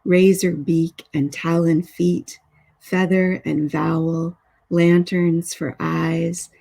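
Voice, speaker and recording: Slow, gravelly-voiced woman poet. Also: fairly low pitch, dark tone. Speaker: poet reading own work. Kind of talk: measured poetry reading.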